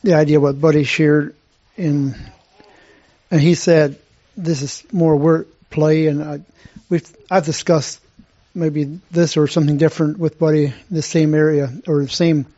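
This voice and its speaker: A man sharing thoughts in an unscripted church discussion, halting, with many restarts and fillers. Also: fairly high pitch, flat delivery, dark tone. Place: room with a group. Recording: dull lo-fi sound.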